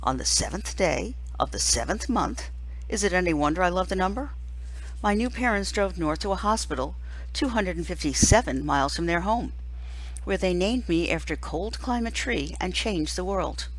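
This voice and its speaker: A woman reading a first-person children's novel aloud like a teacher, expressively, with deliberate emphasis and dramatic pauses. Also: lowish voice, lively intonation, poor audio.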